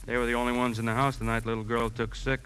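An actor playing a plain-spoken, matter-of-fact police lieutenant in a 1950s radio drama. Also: male voice, mid-range voice, little pitch movement, fast, fairly bright tone, lightly acted, low-quality recording. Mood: apologetic. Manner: matter-of-fact, slightly apologetic. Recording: faintly hissy 1950s radio recording.

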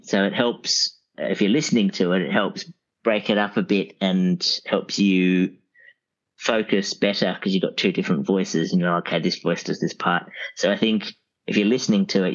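A man speaking as an expert guest in a casual podcast discussion, explaining things in a rambling, halting way. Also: low-pitched. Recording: dull, lo-fi audio.

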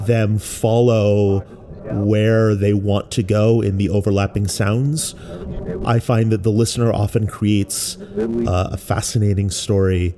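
An American man explaining creative work in a measured, reflective narration, as a sound artist presenting their own work. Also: lowish voice, mellow. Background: music.

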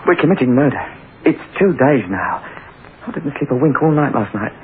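An actor playing a desperate, guilt-ridden young thief in an anguished, brooding old-time radio style. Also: male voice, mid-range voice, lively intonation, brisk, a little hiss or hum, close to an everyday voice. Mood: haunted. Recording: narrow-band old radio recording, loud music.

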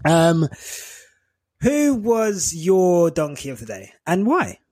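A man hosting a sports podcast, upbeat and encouraging, quick to laugh and pass the question to guests. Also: on the high side, wildly swooping pitch, darkish tone, dry recording, studio quality. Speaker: podcast host. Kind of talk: casual chat, hosting.

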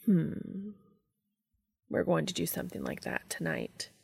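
A woman voicing a commanding, tender adult man in a romantic audio story, speaking low, slow and often in a whisper. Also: lively intonation, gravelly, darkish tone, breathy edge, heavily acted. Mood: hushed, commanding.

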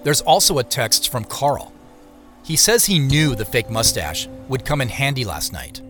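A man acting as the wary inner narrator of an audio drama, telling the story in measured fashion. His voice is bright and mid-pitched with lively movement, over soft music. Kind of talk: scripted first-person present-tense narration.

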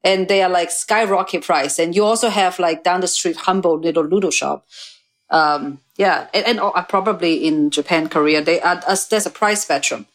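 A woman's voice: on the low side, moderate pace. A woman from Singapore, Hong Kong and mainland China, a chef talking casually as a podcast guest. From grew up in Singapore, Hong Kong and mainland China. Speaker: guest chef and restaurant owner.

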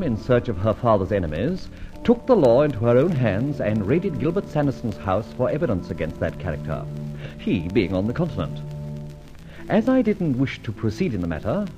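A man playing a suave, wry and commanding gentleman adventurer in a vintage radio adventure serial. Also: mid-range voice. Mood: wry narrating. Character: suave, self-assured gentleman adventurer and amateur sleuth. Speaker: narrator and lead actor. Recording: dull old radio recording, music behind.

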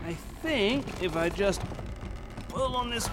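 A man playing a pompous, excitable professor and explorer in an audio drama. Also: high voice, takes it slowly, background noise. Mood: awed. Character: pompous, zealous male professor-explorer of lost civilizations.

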